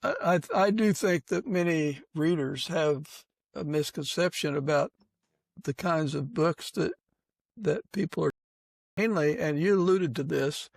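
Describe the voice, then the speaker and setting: A man hosting a casual interview podcast, speaking haltingly and pausing mid-sentence as he works toward his questions. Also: fairly high pitch, gravelly, dry recording. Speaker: podcast host.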